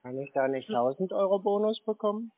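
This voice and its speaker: A man on a phone call, giving deadpan, mock-naive replies to a salesman. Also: sits high, brisk, no echo. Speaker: prank caller. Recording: phone line, dry.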